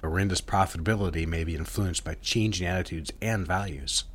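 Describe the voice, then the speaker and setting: Man acting a deep, husky, intense ad boss. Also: a little fast, a bit bright. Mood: businesslike, instructive. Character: married 1960s ad executive, smooth, intense, commanding boss. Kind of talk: period romantic audio drama acting.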